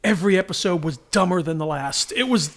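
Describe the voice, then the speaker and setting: Male podcast host, high bright voice, casual and enthusiastic entertainer. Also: fairly quick. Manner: enthusiastic, animated, laughs readily. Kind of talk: casual chat.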